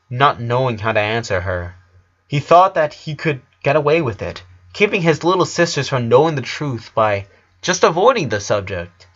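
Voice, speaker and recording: A man's voice: mid-range voice, fairly bright tone, muffled recording. A man reading scripted story narration as the narrator.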